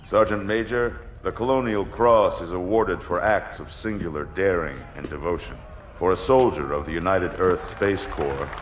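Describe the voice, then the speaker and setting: An actor playing a stern fleet commander who gives clipped military orders in a game cutscene. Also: male voice, low-pitched, normal speed, slight background noise, natural voice. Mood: formal. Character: stern older male fleet admiral. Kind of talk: cinematic game cutscene acting. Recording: roomy mix with loud score.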